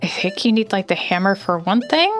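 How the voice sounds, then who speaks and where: A woman's voice: medium pitch, animated, fairly quick, faint music behind. A woman streaming a video game from home, chatting casually and thinking aloud with lots of fillers. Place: home, indoors.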